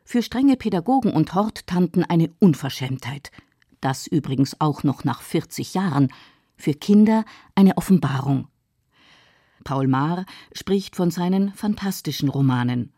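A woman's voice: deep voice, animated, measured pace, dark tone, breathy edge, no echo, clean studio sound. A woman reading scripted narration for a radio feature.

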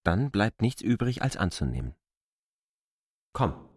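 A proud land surveyor in a literary radio drama. The voice is low and dryly recorded, with a brooding, reluctant delivery. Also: male voice, big pitch swings, a little slow, no echo, clean studio sound. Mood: resigned.

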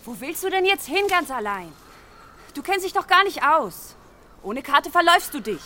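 A woman's voice: high-pitched, very animated, moderate pace, bright, forceful tone, slight background noise, exaggerated voice. An actress playing a hot-tempered young woman traveller in a naturalistic radio drama, blunt and defiant. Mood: angry, defiant.

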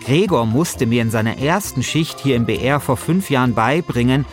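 A middle-aged man narrating a podcast from a script, wry, deadpan and self-deprecating. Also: medium pitch, moderate pace, faint music behind.

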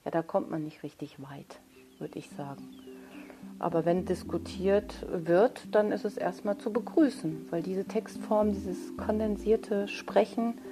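A woman's voice: medium pitch, unhurried, over music. A woman speaking as an interviewed author in a thoughtful conversation, searching for her phrasing and correcting herself.

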